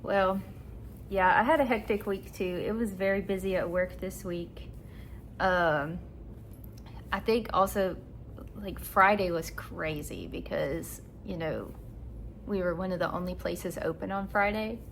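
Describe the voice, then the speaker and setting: A woman's voice: on the low side, unhurried, slight background noise. Easygoing woman in her late twenties. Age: 20s. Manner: easygoing, laughs often. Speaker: podcast co-host. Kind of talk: casual chat.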